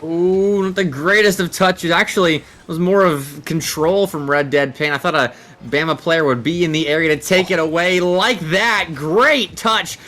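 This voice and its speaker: A man's voice: fairly high pitch, moderate pace, bright, forceful tone. A man giving live analytical commentary on a video game match, explaining play in long sentences. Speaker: esports commentator, analyst caster.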